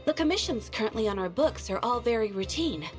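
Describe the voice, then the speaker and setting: A woman acts a polite video game guild receptionist with a fairly high voice and animated intonation. She explains things formally and helpfully, with faint music behind her. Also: fairly quick, lightly acted. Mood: courteous, informative. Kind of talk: video game character acting.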